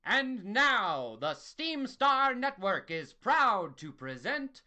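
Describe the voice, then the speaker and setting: Woman as grandiose sci-fi serial narrator: bright, sweeping melodrama, highly animated pitch. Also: slow, exaggerated voice. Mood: grandiose, suspenseful. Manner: dramatic, theatrical announcer delivery. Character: grandiose narrator of a pulpy space adventure serial. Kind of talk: scripted audio drama narration.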